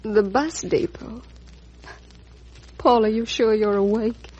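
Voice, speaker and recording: An American actress playing an anxious, persuasive wife in a 1940s radio drama, on an old recording. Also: female voice, a little high, takes it slowly, darkish tone, breathy edge, muffled recording. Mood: pleading, impatient. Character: anxious, persuasive wife urging her husband to rest.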